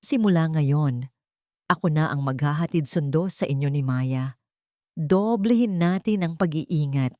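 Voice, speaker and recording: A woman voicing a steady, protective male investigator with a firm, caring manner in a dramatic story. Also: low-pitched, a little slow, dry recording, clean studio sound. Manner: firm, resolute. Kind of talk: dramatic audiobook character voice.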